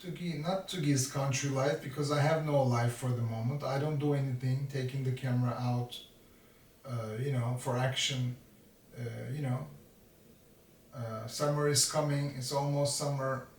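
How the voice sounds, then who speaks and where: A man in his fifties rambling in a slow, self-mocking monologue to his vlog viewers, making jokey asides to himself. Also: medium pitch, fairly even intonation, a little hiss or hum. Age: 50s. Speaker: vlogger. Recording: roomy, from a distance.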